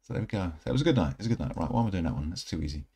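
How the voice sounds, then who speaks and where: A man in his thirties giving casual, rambling commentary over mobile game footage, a little croaky from a night out. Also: deep voice, very fast. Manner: relaxed, rambling, self-correcting. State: slightly croaky from singing. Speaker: gaming channel host. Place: indoor room at home.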